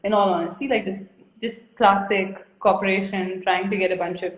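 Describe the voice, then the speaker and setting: A woman's voice: mid-range voice, fairly even intonation, normal speed. A woman from India in her thirties, a guest artist chatting frankly and at length on a video call, laughing often. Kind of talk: casual interview chat. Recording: echoey room.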